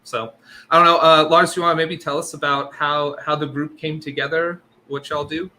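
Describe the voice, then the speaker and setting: A man's voice: on the high side, flat delivery, normal speed, sharp tone. A man hosting a casual tech podcast, upbeat and friendly, saying "y'all". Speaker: podcast host.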